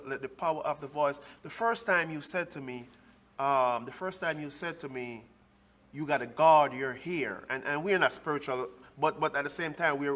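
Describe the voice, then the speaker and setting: A man's voice: medium pitch, a bit bright. A man from Jamaica with a Jamaican accent, a minister co-hosting and chiming in with frequent agreement. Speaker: co-host, minister. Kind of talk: casual chat.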